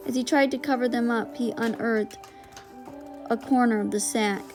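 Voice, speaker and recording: A woman's voice: fairly high pitch, steady tone, normal speed. A woman reading a storybook aloud as scripted narration. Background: music.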